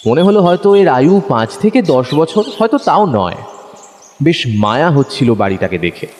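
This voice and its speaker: A man's voice: fairly high pitch, sing-song, normal speed, darkish tone. A man playing a detective's observant, curious companion, telling the story in the first person as a dramatised audio narration. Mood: storytelling. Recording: long hall reverb, faint background noise.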